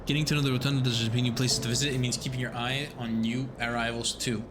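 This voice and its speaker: A man streaming a game, reading text aloud and rambling in a self-mocking way, swearing now and then. His voice sits in an ordinary middle range. A clear layer of noise hangs under the home recording. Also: mid-range voice, little pitch movement, quick pace.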